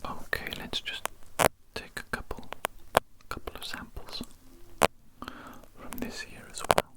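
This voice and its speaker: A man's voice: close to an everyday voice, poor audio. Man playing a whispering pompous evil doctor. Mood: condescending. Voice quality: whispered, unvoiced. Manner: deliberate, repetitive, pompous. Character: evil male doctor, condescending, menacing mad scientist kidnapper. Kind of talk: ASMR roleplay. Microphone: close ASMR microphone.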